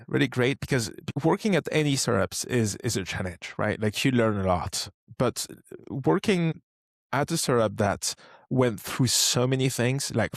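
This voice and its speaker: A man in his thirties with a French accent, speaking English as a second language, chatting as an interview guest. He talks in a relaxed way, thinking aloud with frequent restarts. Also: lowish voice, creaky, dry recording, clean studio sound. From France. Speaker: guest, startup co-founder. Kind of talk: casual interview conversation.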